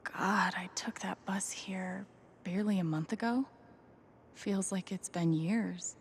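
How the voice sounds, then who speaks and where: A gentle, thoughtful young woman in a video game. She speaks softly with a noticeable fry, as if sharing inner thoughts, over faint background ambience. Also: mid-range voice, takes it slowly, slight background noise. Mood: quietly reflective. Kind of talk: naturalistic video game acting.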